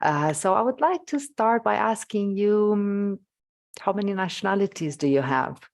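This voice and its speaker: A woman's voice: medium pitch, expressive, normal speed, a little mellow. A woman hosting a conversational interview, putting questions to her guest. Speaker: interviewer, host.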